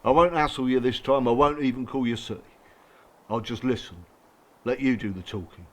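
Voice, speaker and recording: A man's voice: medium pitch, a little fast, a little hiss or hum, natural voice. An actor playing a blunt, wry and streetwise man with an English accent in an audio drama, colloquial and probing. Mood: wry, coaxing. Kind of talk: naturalistic audio drama acting.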